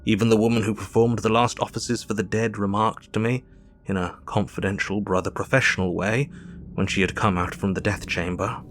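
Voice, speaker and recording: An actor with an English accent playing an earnest, grieving Victorian doctor, narrating his diary in measured, formal speech for an audio drama. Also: on the low side, fairly even intonation, fairly bright tone, soft background music, natural voice. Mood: solemn, grieving.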